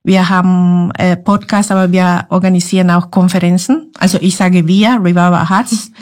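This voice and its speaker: A woman's voice: fairly low pitch, fairly even intonation, moderate pace, a little mellow. Woman, earnest and searching. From Guatemala. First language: Spanish. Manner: earnest, searching for German words. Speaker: ministry guest. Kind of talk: podcast interview.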